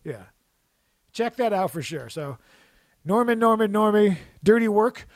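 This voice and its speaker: Jokey, rambling man with a higher voice. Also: animated, a little slow, dry recording, clean studio sound. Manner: rambling, jokey, restarts often. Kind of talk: casual chat.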